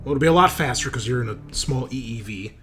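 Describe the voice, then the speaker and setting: A man's voice: mid-range voice, lively intonation, quick pace, fairly bright tone. A man acting as game master, narrating casually and reading out rules with informal, dramatic emphasis. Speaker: game master of a tabletop roleplaying game.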